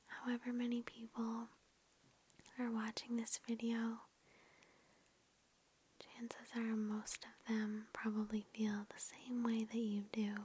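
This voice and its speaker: Female ASMR meditation guide, hushed unvoiced whisper, very even and unhurried, faintly noisy lo-fi recording. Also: fairly high pitch. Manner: gentle, reassuring, unhurried. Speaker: ASMR host. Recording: lo-fi with background noise and clicks.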